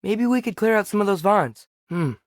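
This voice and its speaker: A man's voice: high-pitched, lively intonation, normal speed, no room sound. Earnest teenage boy game adventurer, dry sound. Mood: curious, observant. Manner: earnest, inquisitive, natural phrasing. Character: earnest, curious teenage boy adventurer travelling with his father. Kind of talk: video game voice acting, companion dialogue.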